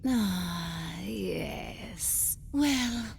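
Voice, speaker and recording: A woman's voice: on the low side, expressive, very slow, gravelly, full character voice. A woman playing an imperious, menacing goddess queen of the dead in a video game, haughty and formal. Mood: haughty menace. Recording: loud music behind the voice.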